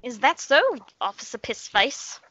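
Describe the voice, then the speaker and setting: A woman's voice: a little high, unhurried, pushed and bright, no echo, lo-fi sound. A woman voicing a foul-mouthed, mocking leprechaun villain who taunts with exasperated menace.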